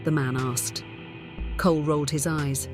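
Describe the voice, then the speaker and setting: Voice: medium pitch, steady tone, a little slow, over music. An audiobook narrator reading a scripted story.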